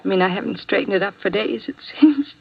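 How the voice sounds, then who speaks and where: Actress as tired nurse: halting, apologetic woman's voice, dull vintage radio sound with faint noise. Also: mid-range voice, vocal fry, close to an everyday voice. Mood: weary, apologetic. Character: weary, apologetic female nurse at home. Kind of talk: old-time radio drama acting.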